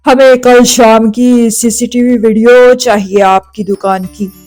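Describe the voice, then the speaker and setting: A woman's voice: a little high, fairly even intonation, a little slow, darkish tone, faint music behind, voice pushed down, close to an everyday voice. A woman voicing a stern, authoritative police officer bird in a cartoon story, questioning in a firm manner. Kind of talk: Hindi cartoon story dialogue.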